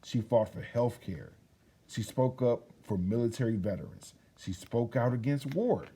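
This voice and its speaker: A man reading a children's picture book aloud in an expressive, emphatic storytelling manner. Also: medium pitch, a little slow, slight background noise. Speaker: children's read-aloud host.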